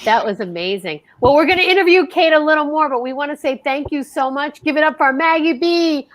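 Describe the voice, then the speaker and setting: Gushing high-voiced woman hosting. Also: lively intonation, a little fast, noisy background. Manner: gushing and exclamatory. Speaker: host of a live radio-play show. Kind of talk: excited, chatty live hosting.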